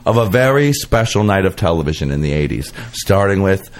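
A man's voice: low voice, sing-song, fairly quick. A man hosting a podcast, chatting casually and comedically in a campy, gushing, gossipy way. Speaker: podcast host.

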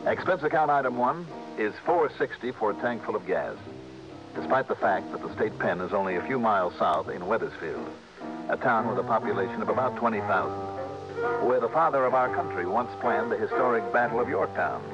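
An actor playing a hard-boiled, decent American insurance investigator who also narrates, in 1960s radio drama style. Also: male voice, fairly low pitch, lively intonation, fairly quick. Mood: dry, narrating. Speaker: lead actor and first-person narrator. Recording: dull vintage radio recording, music behind.

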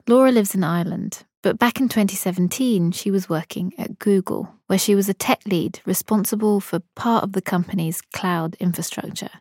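A woman hosting an investigative podcast in measured, scripted narration. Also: mid-range voice, darkish tone, no echo, clean studio sound. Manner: measured, storytelling.

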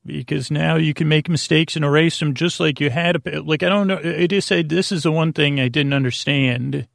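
Rambling, creaky-voiced man in his forties. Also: fairly high pitch, dry recording, clean studio sound. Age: 40s. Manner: meandering, halting, repeats and trails off mid-thought. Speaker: sleep podcast host. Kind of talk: rambling casual bedtime monologue.

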